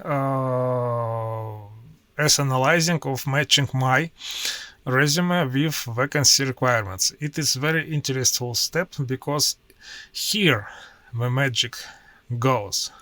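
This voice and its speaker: Non-native male tech presenter, Russian accent, halting delivery with frequent pauses, crisp bright voice. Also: medium pitch, dry recording. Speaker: IT professional demoing his workflow. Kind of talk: screen-share tutorial walkthrough.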